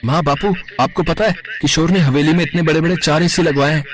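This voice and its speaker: A man voicing an eager, inventive young village boy in an animated moral story, speaking earnestly and plainly. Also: a little high, a little fast, crowd noise, natural voice. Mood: excited, wishful.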